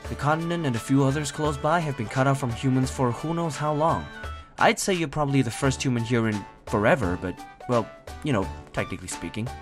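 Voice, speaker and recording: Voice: medium pitch, lively intonation, brisk, over music, natural voice. A performer playing an earnest, cautious young creature sidekick in an audio drama, speaking politely and chattily. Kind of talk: audio drama character acting.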